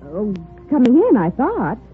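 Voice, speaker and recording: An actress playing a courteous, proper hotel receptionist with formal phrasing in a vintage radio drama. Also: female voice, on the high side, wildly swooping pitch, a little slow. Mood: puzzled, helpful. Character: polite, proper female hotel desk clerk. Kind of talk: 1940s-50s radio drama acting. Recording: tinny vintage radio recording, faint music.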